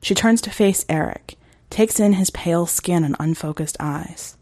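Slow, low-pitched woman narrating fiction, dry recording. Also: mellow, no echo. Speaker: podcast editor and story reader.

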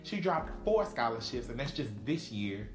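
Podcast co-host: Texan man, mid-pitched, emphatic and drawn out, roomy echo, music under. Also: big pitch swings. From Texas. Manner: emphatic, drawn-out, opinionated delivery. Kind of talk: casual podcast chat.

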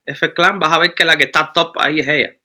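A Cuban man with a very bright, ringing voice talks at a fairly high pitch. He is a musician in his thirties who tells his story in a relaxed interview. He restarts his sentences often as he goes. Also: fast talker. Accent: Cuban Spanish. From Cuba. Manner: animated, rambling with frequent restarts. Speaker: musician guest. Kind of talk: casual interview talk.

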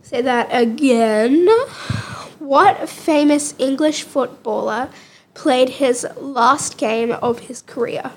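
High, congested woman with a sore throat. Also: slow pace, a little hiss or hum. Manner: soft and quiet. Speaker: quiz presenter. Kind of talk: casual chat.

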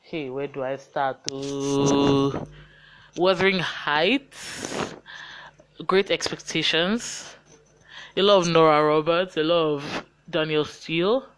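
A man's voice: fairly high pitch, takes it slowly, fairly bright tone, clear, resonant tone, rough recording. A teenage boy with a Nigerian accent, chatting casually through get-to-know-me questions on his own podcast, sounding tired. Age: teens. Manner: chatty, rambling, self-mocking asides. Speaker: podcast host.

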